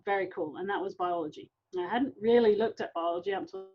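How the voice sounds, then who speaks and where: A woman's voice: medium pitch, sing-song, fast, vocal fry, faint music behind. The keen English professor from London you'd hear giving an online science talk to the public, a middle-aged woman on slightly dull call audio. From London, England. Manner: informal, enthusiastic. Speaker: guest scientist, university professor.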